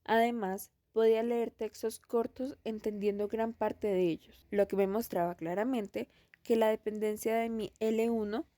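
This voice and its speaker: A woman reading a first-person account aloud for a student project, at a steady, even pace. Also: fairly high pitch, measured pace, no echo. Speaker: student presenter.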